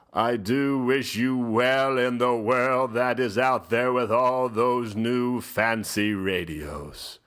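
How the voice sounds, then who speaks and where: A man playing a pompous theatrical gentleman host, giving a mock-solemn dramatic reading with drawn-out pauses. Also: medium pitch. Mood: grand, fond.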